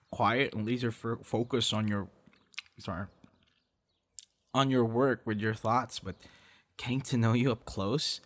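Slow-talking, affectionate young boyfriend played by a man. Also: mid-range voice, lightly acted. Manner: teasing, encouraging, halting with soft laughs and gasps. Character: playful, affectionate young male voice actor on a date. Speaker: voice actor in audio roleplay. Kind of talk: ASMR boyfriend roleplay.

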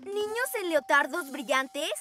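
Eager little boy cartoon hero, super high voice, takes it slow, latin american spanish. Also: lively intonation, over music. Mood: earnest. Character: eager six-year-old boy superhero. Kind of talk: animated cartoon dialogue.

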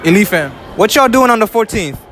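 A man's voice: high-pitched, expressive, brisk, a bit bright, a faint crowd, a little hiss or hum. A young man from New hosting a casual street interview in a shopping mall, talking into a handheld mic in upbeat slang and repeating "all right" a lot. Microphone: handheld mic held to speakers.

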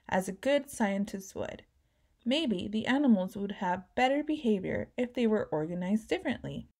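Female storyteller for a kids' read-aloud; gentle narration, lively character voices, mellow tone. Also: mid-range voice. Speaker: children's book reader.

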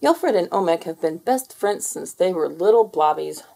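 A woman's voice: on the low side, lively intonation, moderate pace, vocal fry, warm tone. A woman reading a children's picture book aloud as a storyteller.

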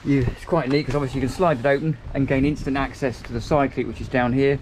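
A man's voice: medium pitch, steady tone, quick pace, a little mellow, a little hiss or hum, low-quality recording. A man, giving an upbeat walkthrough tour of a small motor boat cabin, filmed on a handheld camera. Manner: chatty, upbeat, pointing out features as he moves. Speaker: boat reviewer.

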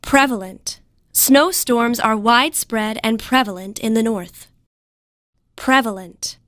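A woman with a fairly high voice narrates vocabulary material for learners. She is measured and instructional, recorded very dry. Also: fairly bright tone, no room sound. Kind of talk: scripted word definitions for learners.

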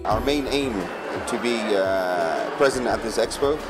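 A man exhibitor explaining his company's aims in a halting, phrase-by-phrase promotional interview. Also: mid-range voice. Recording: loud music over voice.

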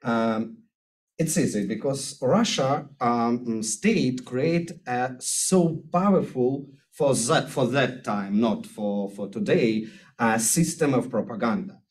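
A middle-aged man from Ukraine with a Ukrainian accent, speaking English as a second language at a podium, halting and searching for words with many fillers. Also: mid-range voice. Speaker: guest speaker. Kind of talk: speech at a podium. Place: lecture room with audience. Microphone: podium microphone.

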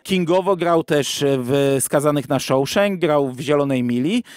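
Higher-voiced man, dry close sound. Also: unhurried, clean tone, no room sound. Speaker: podcast host. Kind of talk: conversational podcast discussion of audio drama. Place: virtual studio, remote podcast recording.

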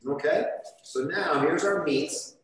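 A man cooking on a studio kitchen set, explaining each step in a relaxed, chatty way. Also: on the high side, slow. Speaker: chef demonstrating a recipe. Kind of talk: live cooking demonstration. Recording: echoey kitchen with light background noise.

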